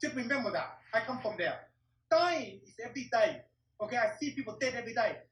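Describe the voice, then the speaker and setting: A man's voice: very high voice, animated, fairly quick, a bit bright. A middle-aged man from Vietnam, a martial arts teacher telling his story in an interview in blunt, broken English and swearing often. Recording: roomy echo, distant mic.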